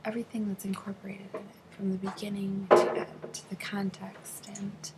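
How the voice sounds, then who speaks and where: Woman interpreting in halting phrases. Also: medium pitch, monotone, whispered. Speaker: sign language interpreter voicing a deaf interviewee. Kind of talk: interpreted interview, spoken in first person.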